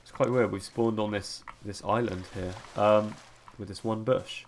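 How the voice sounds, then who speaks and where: A young man with a cold, sniffly and croaky, rambling through casual gameplay commentary as a let's-play host. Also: lowish voice, steady tone, a little slow, a little hiss or hum. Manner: rambling, many ums and restarts.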